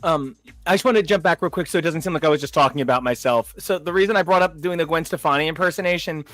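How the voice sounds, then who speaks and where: A man with a slightly higher voice talks in a big, loud, animated way. He is co-hosting a relaxed review discussion. Faint music plays underneath. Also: fast talker, no echo. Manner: animated, self-described big loud talker. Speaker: podcast co-host. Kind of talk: casual review chat.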